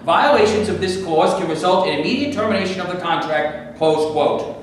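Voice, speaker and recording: Man acting high-voiced, hard-nosed studio boss, echoey room. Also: moderate pace, lightly acted. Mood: firm, officious. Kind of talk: staged reading of a play. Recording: echoey live room, distant camera, noisy.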